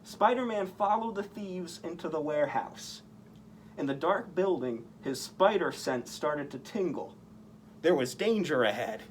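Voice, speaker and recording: A man reads a children's story aloud in a highly animated way. His voice is on the higher side and moves widely in pitch, with some noise in the background. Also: measured pace. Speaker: costumed story-time reader in superhero persona.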